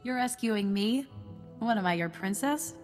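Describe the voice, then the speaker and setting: A woman's voice: fairly high pitch, lively intonation, a little slow, a little mellow, faint music behind. A woman playing a tough, wry outlaw in a video game, blunt, streetwise and slangy. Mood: gruffly wry.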